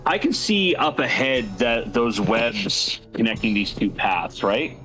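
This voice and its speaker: A man playing a tabletop game, speaking in a deliberate, measured way. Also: mid-range voice, expressive, a bit bright, faint music behind, low-quality recording. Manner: slow, deliberate, counts aloud. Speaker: player. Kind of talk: casual tabletop play.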